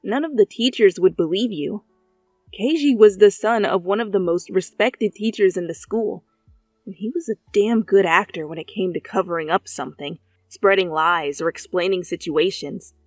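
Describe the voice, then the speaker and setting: Woman reading a fanfic story, mid pitch, close dry mic, faint music under it. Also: moderate pace, no echo. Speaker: fan fiction narrator. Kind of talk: scripted second-person story narration. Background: soft background music.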